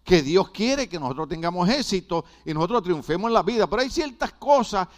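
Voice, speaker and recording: A man's voice: sits high, sing-song, brisk. An older man from Puerto Rico with a Puerto Rican Spanish accent, preaching a sermon and asking his congregation questions. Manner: builds to loud, emphatic climaxes. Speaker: pastor.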